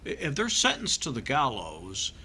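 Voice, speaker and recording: A man's voice: mid-range voice, huge pitch swings, moderate pace, pushed and bright, slight background noise, full character voice. A man playing a flustered, earnest doctor turned amateur sleuth in a 1950s-style radio comedy, hesitant and stammering. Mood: earnest, halting.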